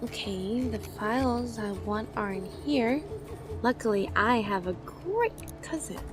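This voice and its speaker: An earnest female agent played by a woman muses in a fairly high voice at a slow pace. Clear music plays behind her, as in an anime audio drama. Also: animated. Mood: musing. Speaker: voice actor.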